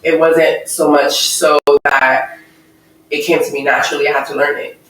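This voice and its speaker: A woman's voice: low-pitched, level tone, a bit bright, a little hiss or hum. Woman speaking earnestly in an echoey room. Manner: earnest, thoughtful, measured with pauses. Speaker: interview guest. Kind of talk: reflective personal testimony. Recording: echoey room, mic far off.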